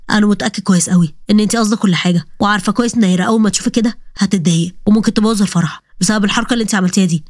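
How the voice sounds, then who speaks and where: Woman doing an angry young egyptian groom, harsh and scolding, super fast, dry sound. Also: medium pitch, no room sound, pitched below the performer's own voice, natural voice.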